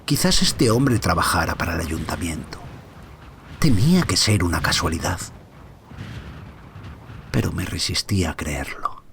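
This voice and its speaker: A man playing an anxious married father who narrates a mystery audio drama in a brooding, hushed way, often dropping toward a whisper. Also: low voice, slight background noise. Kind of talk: audio fiction first-person dramatic narration.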